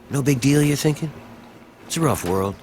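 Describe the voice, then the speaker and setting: A man's voice: mid-range voice, expressive, moderate pace, background noise, natural voice. An actor playing a weary, desperate rebel in a video game, pleading earnestly and dramatically for his enslaved people. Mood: grim, urgent. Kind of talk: video game dialogue acting. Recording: game audio with background music.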